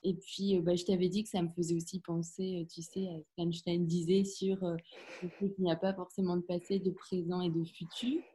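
A woman's voice: on the low side, little pitch movement, brisk, mellow, a little hiss or hum. A woman hosting a podcast interview, asking curious questions and often backchanneling with short murmurs. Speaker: podcast host.

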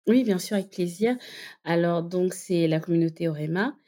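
A woman being interviewed in a casual conversation, founder of a community for entrepreneur mothers, explaining her views and often pausing to find her words. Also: fairly low pitch, dark tone. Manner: explanatory, thinks aloud with many hesitations.

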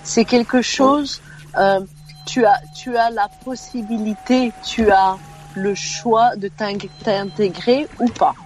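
A woman's voice: fairly high pitch, steady tone, slow pace, a little mellow, faint music behind, poor audio. A woman in her fifties from Belgium, calling into a radio show by phone and giving her opinions frankly, often restarting her sentences. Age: 50s. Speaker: radio caller. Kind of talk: call-in radio chat.

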